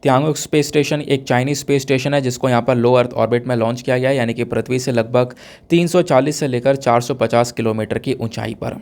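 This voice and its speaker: A man's voice: medium pitch, steady tone, fast talker, a little mellow. A man from India with a sore throat, lecturing conversationally on current affairs as an exam-prep teacher, explaining through questions and mixing in English terms. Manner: explanatory, poses questions and answers them, code-mixes English terms.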